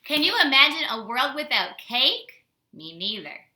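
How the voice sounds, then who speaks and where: An American woman speaks as a teacher to young children, with a very bright, forward voice. Her style is a casual storytime lesson, animated and simply worded, with questions put to the listener. The recording is echoey, like a reflective room at home. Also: high voice, a little slow. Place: indoors at home.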